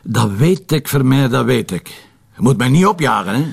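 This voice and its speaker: Give this Flemish commissioner a gravelly, raspy voice with animated pitch. He is confident and teasing, a detective at home in a radio crime series. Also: normal speed, full character voice. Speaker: police commissioner.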